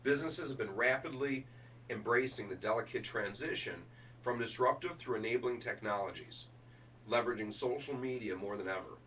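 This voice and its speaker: A man's voice: mid-range voice, a little fast, a bit bright, recorded from a distance, slight background noise. A middle-aged man running through a keynote speech draft, switching between presenter delivery and offhand asides. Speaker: keynote speaker rehearsing a speech.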